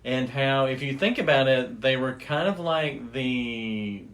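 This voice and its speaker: A man's voice: medium pitch, a little slow, fairly bright tone, slight background noise, low-quality recording. A middle-aged American man chatting casually as a podcast co-host. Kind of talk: casual chat.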